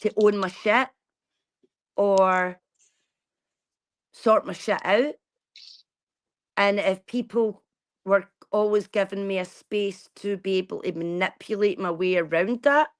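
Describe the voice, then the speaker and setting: A woman's voice: mid-range voice, fairly even intonation, takes it slowly, long pauses. A woman, talking bluntly and casually to her viewers and swearing freely. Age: 40s. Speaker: vlogger. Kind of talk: casual chat.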